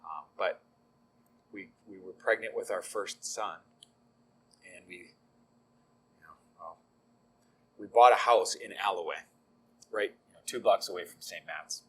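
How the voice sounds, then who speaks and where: A man's voice: deep voice, unhurried, long pauses, husky. A middle-aged man, a deacon, telling his faith story in an easygoing, self-deprecating way with frequent ums. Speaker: deacon, guest. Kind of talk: conversational faith testimony.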